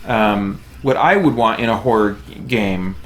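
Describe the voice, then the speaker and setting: A middle-aged man rambling casually in a halting way, thinking aloud as he hosts a game stream. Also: fairly low pitch, a bit bright. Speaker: live stream host. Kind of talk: casual chat while playing a game.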